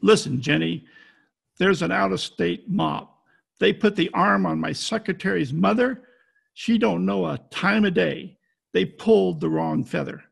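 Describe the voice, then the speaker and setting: A man's voice: sits high, unhurried, gravelly, husky, heavily acted. A man playing a tough, wisecracking private detective in an old-time radio style, talking in clipped slang. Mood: hard, pressing. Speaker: amateur radio play actor. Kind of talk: hardboiled 1940s radio detective acting. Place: at home, recorded over video call.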